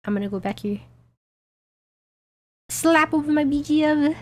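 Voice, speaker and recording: A woman streamer with a hoarse, tiring voice, giggling and chatting casually with crude jokes as she plays. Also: sits high, unhurried, a little mellow, faint music behind. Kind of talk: casual gaming stream chat.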